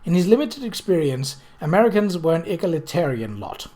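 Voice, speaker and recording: Guy reading an audiobook, voice a bit on the high side, measured, trips on a word now and then. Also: big pitch swings, dark tone. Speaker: audiobook reader. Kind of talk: scripted narration of a novel.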